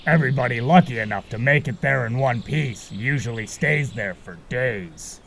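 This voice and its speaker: A man playing a friendly, laid-back guide in an amateur audio drama, gravelly and casual. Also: mid-range voice, normal speed, fairly bright tone, a little hiss or hum.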